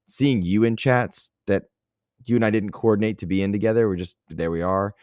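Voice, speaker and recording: A man's voice: fairly low pitch, steady tone, a little fast, a little mellow, smooth, no room sound, studio quality. A man hosting a gaming podcast, earnest and reflective, whose voice is getting worn. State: losing his voice. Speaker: podcast host. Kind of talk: casual podcast chat.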